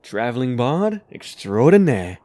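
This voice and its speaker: A man playing a charming, theatrical young demon bard with a smooth, showy manner in a fantasy audio drama. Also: medium pitch, sing-song, a little slow, mellow. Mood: breezy, showy.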